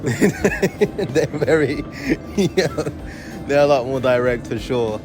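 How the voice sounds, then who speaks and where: A man's voice: medium pitch, lively intonation, slow pace, over music. A young man with a mixed London accent, talking loosely with many fillers and laughs as a comedian guest. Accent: London English. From France, raised in Portugal and London. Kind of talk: casual interview chat.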